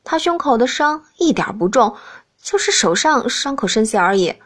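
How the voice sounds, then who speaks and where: Woman narrating an audiobook, slightly high voice. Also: very animated, normal speed, breathy edge. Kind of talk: scripted first-person novel narration.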